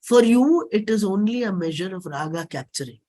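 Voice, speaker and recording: A woman with an Indian accent giving a dramatic reading of a play script in a deliberate, declamatory manner. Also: mid-range voice, lively intonation, warm tone. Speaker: performer reading own play.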